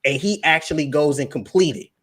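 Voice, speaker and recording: A man's voice: fairly high pitch, fairly quick, fairly bright tone. Young man, casual livestream talk, emphatic, preachy, repeats words. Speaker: guest speaker on finance.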